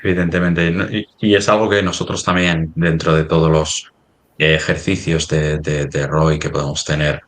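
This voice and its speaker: Very low-voiced Spanish man. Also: level tone. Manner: halting, rephrases, often adds tag questions. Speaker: company representative in a webinar. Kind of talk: conversational business discussion.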